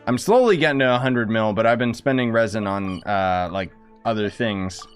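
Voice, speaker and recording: A man's voice: lowish voice, moderate pace. A young man giving casual gameplay commentary in a rambling, slangy, often exasperated manner. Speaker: game streamer. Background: game music.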